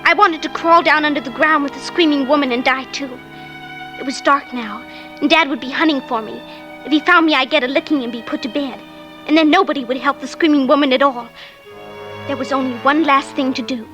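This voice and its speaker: A high, youthful little girl's voice, acted by a woman, earnest and insistent with a frightened edge. She performs in vintage radio drama style, with faint music behind her. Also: brisk, highs cut off, lightly acted. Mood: somber storytelling. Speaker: lead character and narrator. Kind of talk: 1940s-50s radio drama acting. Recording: old radio recording with music.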